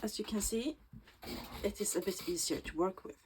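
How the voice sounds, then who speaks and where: A woman with a Swedish accent, Swedish native, talking through a bookbinding tutorial in a slow, thoughtful way that often drops to a soft whisper while she works. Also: mid-range voice, noisy background. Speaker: bookbinding crafter. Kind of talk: casual craft tutorial.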